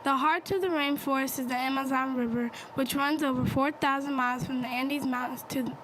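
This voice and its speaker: A woman's voice: sits high, fairly even intonation, a little fast, fairly bright tone, rough recording. A woman reading scripted educational narration for young listeners. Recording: faint background noise.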